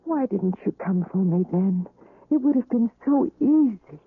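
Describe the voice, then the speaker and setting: An actress playing a weary, despairing wife recovering from surgery, mournful and yearning, in a radio drama. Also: female voice, medium pitch, expressive, measured pace, husky, narrow, tinny audio. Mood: anguished, pleading. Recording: roomy, band-limited old radio recording.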